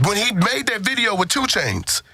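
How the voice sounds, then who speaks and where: A man's voice: high-pitched, sing-song, moderate pace, gravelly, sharp tone, slightly rough, no echo. An American man co-hosting a podcast, bantering casually in slang and profanity, arguing insistently and repeating his phrases for emphasis. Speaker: podcast co-host. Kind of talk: casual sports and music banter.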